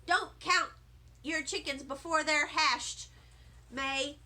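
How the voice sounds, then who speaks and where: A woman's voice: high voice, steady tone, takes it slowly, bright, forceful tone, exaggerated voice. A woman reading a children's audiobook as a naive, proud little schoolboy with a wide-eyed, earnest manner. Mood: smug. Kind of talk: children's audiobook read-aloud.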